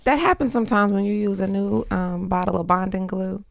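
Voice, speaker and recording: A woman's voice: medium pitch, a little fast, vocal fry, no echo, studio quality. An American woman chatting casually as a podcast guest, blunt and slangy in the way she talks. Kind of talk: casual chat.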